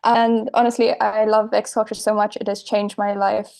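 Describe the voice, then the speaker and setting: A woman's voice: medium pitch, flat delivery, normal speed, creaky, a little mellow. A twenty-year-old woman hosting an online orientation as co-coordinator, earnest and enthusiastic, with fillers, on a video call. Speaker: program co-coordinator.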